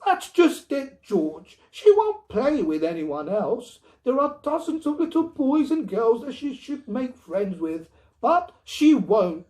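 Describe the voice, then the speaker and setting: Storybook wife and mother, played by a man: high, swooping delivery, indignant, anxious, insistent. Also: big pitch swings, slow, darkish tone, exaggerated voice. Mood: pleading. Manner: pleading, emphatic.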